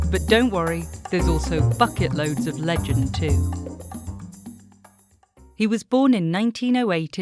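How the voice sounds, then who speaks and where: A woman hosting a documentary series, reading scripted narration. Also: on the low side.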